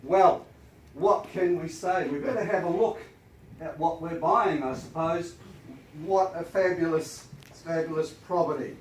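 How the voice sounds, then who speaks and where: A man with a New Zealand accent, an auctioneer with a croaky voice, reading formal auction terms briskly and then selling the property with enthusiasm. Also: on the high side. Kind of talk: formal auction preamble and sales pitch. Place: auction room with audience. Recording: echoey room, mic at a distance, faint noise.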